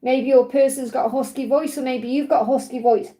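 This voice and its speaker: High-voiced woman, husky and worn. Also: fairly even intonation, a little fast, mellow. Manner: soothing, reassuring, repeats "okay?". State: husky, tiring voice. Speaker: tarot card reader. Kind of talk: live tarot reading to viewers.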